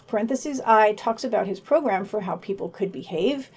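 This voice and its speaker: A woman's voice: mid-range voice, expressive, normal speed, a little mellow, slightly rough. A woman co-hosting a podcast, reading scripted summaries and reviews with her own commentary.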